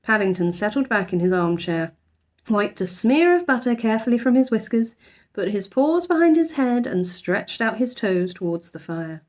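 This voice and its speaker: A woman's voice: mid-range voice, expressive, moderate pace, a little mellow. A woman narrating a children's storybook expressively, like a teacher reading aloud.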